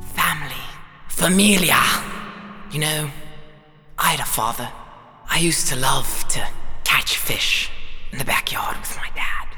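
An actor playing a rambling small-town pastor who wanders off topic at a funeral, dropping into whispers and rising again. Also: male voice, mid-range voice. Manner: meandering, swings between loud and whispered. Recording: reverberant hall with music underneath.

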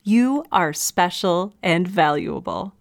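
A woman's voice: fairly low pitch, lively intonation, slow pace, vocal fry, dry recording, studio quality, full character voice. A woman voicing a relentlessly affirming virtual life coach, giving a polished, upbeat automated greeting in an audio drama. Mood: brightly affirming.

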